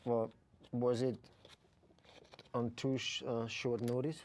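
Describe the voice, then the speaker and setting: A man's voice: mid-range voice, flat delivery, darkish tone, slight background noise. A man from Austria with an Austrian German accent, a native German speaker, chatting hesitantly as a guest on a chess show. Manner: hesitant, halting replies. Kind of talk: casual chat. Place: dark TV studio.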